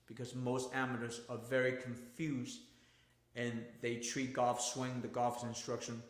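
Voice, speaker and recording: Man, golf instructor, non-native English, emphatic, repetitive lecturing, mid-pitched, echoey room. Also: monotone, measured pace. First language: not English. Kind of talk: livestream coaching talk.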